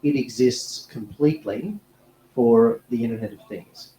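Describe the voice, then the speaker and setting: Mellow-voiced man, relaxed and rambling. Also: medium pitch, unhurried. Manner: relaxed, with restarts and repeated words. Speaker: panelist, technology executive. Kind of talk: panel discussion. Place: conference panel room. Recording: echoey room, faint background noise.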